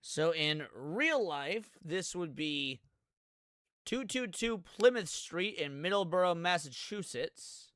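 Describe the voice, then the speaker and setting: Guy streaming reactions, kinda high voice, chatty and informal, really dry sound no room. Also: animated, slow, a bit bright, dry recording. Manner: informal, thinking aloud. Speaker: streamer reacting to a video series. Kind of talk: casual live reaction commentary, talking to viewers.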